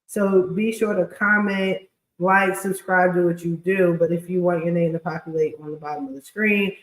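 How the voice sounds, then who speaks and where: A woman's voice: lowish voice, steady tone, normal speed, roomy sound. A woman hosting a casual, chatty livestream with a scratchy voice and blunt, slangy, informal talk. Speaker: podcast host and credit repair specialist.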